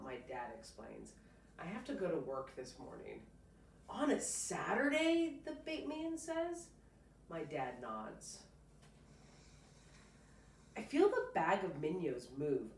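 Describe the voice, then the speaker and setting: A woman teacher reading a picture book aloud to a child, pausing to explain and prompt with encouraging questions. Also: on the low side. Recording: roomy, distant mic, faint noise.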